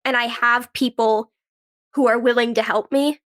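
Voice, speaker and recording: A woman's voice: on the high side, steady tone, normal speed, a bit bright. A young woman answering interview questions in a casual, conversational way, telling stories and often saying "like" and quoting her own thoughts. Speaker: podcast guest, actress and writer.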